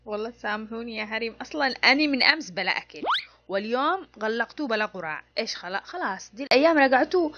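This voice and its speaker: A woman's voice: fairly high pitch, a bit bright, muffled recording. A woman playing an aggrieved, hard-up Yemeni housewife in a comic cartoon, complaining and exclaiming in an Adeni accent. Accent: Adeni Yemeni Arabic. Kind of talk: comic cartoon skit dialogue.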